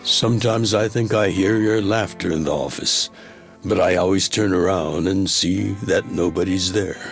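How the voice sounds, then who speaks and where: A man playing a fatherly older yakuza boss, reading a letter in a measured, tender, wistful way as a game drama voice-over. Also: sits low, fairly even intonation, vocal fry, soft background music.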